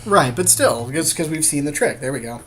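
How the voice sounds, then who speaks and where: A man's voice: fairly high pitch, expressive, fairly quick, a bit bright, faint music behind. A man co-hosting a podcast, casually talking through plays in a card game. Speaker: podcast co-host.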